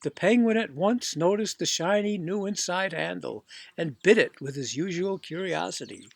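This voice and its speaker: Higher-voiced man reading a children's story. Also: lively intonation, normal speed, gravelly. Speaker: audiobook reader. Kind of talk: expressive children's story narration.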